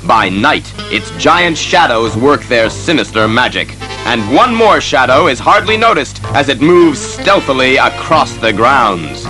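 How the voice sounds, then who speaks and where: A man's voice: medium pitch, very animated, measured pace, over music, rough recording. A man playing the ominous, suspenseful storyteller of a superhero audio drama, in formal scripted narration.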